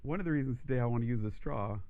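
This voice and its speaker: A man teaching a voice lesson, explaining steps calmly and steadily, his voice croaky from allergies. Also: mid-range voice, racing, narrow, tinny audio. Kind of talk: instructional demonstration.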